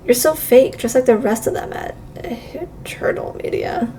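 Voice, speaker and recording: A woman's voice: high voice, moderate pace, mellow, far from the mic, slight background noise, lightly acted. A woman voicing a bitter, threatening rival with an accusing delivery in a game read-aloud.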